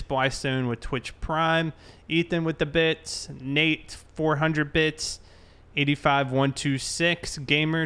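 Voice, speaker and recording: A man's voice: on the high side, a bit bright, clear, resonant tone. A man hosting a roleplay game livestream, chatting casually with his viewers.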